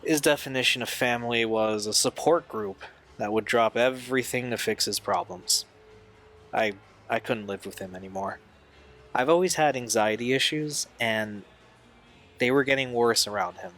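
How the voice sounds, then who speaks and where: An actor playing a troubled young man in an audio drama, speaking in an earnest, halting, confessional way. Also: medium pitch, a bit bright, lightly acted. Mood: bitter, anguished. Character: Steve, troubled young man recalling a painful family past.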